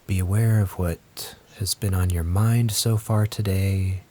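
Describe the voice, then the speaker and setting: A man leads a guided meditation as the teacher, speaking gently and in a measured way with long pauses. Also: deep voice, steady tone. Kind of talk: guided meditation, slow scripted instruction.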